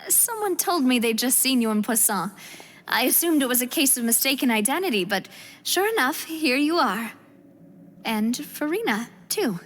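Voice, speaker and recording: A woman's voice: sits high, sing-song, normal speed, a little hiss or hum. A woman voicing a courteous, composed and hospitable young lady in a video game. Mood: knowing.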